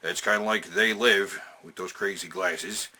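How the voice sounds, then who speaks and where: A man's voice: deep voice, fairly even intonation, measured pace, pushed and bright. A man hosting a casual, opinionated countdown, talking in emphatic, sweary slang and repeating phrases to drive them home. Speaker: host of a video game and movie countdown.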